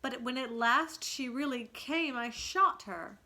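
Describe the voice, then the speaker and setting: A woman's voice: sits high, unhurried, bright, forceful tone, voice pushed up, full character voice. A woman voicing a meek but dignified young boy from a storybook, earnest and remorseful.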